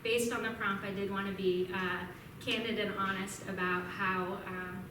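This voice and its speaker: A woman's voice: mid-range voice, steady tone, normal speed. A young woman from Vermont giving a casual talk and answering questions, chatty and upbeat, with frequent ums. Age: young adult. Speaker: guest speaker at a club meeting. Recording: echoey room from a distance, noisy.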